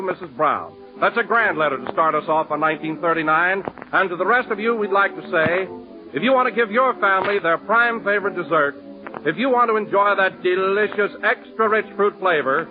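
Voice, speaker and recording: A man working as the announcer on a 1930s radio comedy show, speaking in a booming, formal announcer style. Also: animated, moderate pace, over music. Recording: tinny 1930s radio broadcast recording.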